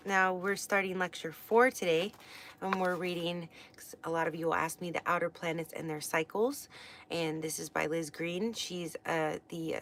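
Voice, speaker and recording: Chatty, rambling woman with a lowish voice. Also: steady tone, moderate pace, fairly bright tone, slight background noise. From west coast of the United States. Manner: chatty, rambling, many fillers and restarts. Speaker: astrology teacher. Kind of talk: casual livestream book study.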